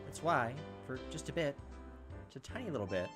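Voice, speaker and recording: A man's voice: mid-range voice, a little fast, over music. A man reading a visual novel aloud as a gameplay host, adding casual, wry asides between the narrated passages. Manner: animated, wry joking asides. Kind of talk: let's play narration with casual commentary.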